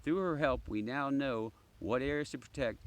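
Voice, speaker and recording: A man voicing an encouraging woman teacher who gently guides young bird watchers in a storybook. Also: medium pitch, moderate pace, lightly acted. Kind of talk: children's storybook read-aloud. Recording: clearly noisy background.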